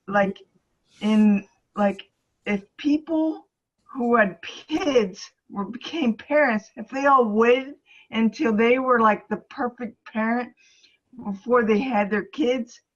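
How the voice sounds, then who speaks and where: A woman speaks slowly and earnestly on a group call, stumbling and restarting as she offers encouragement. Her voice comes through slightly dull and lo-fi. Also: fairly high pitch. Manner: halting, earnest, restarts often. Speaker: ministry facilitator.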